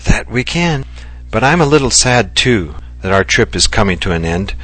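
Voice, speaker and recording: A man voicing a gentle, loving Japanese wife who speaks earnestly and reflectively. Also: on the low side, big pitch swings, moderate pace, soft background music, low-quality recording. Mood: earnest, tender. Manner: earnest and heartfelt, softly reflective.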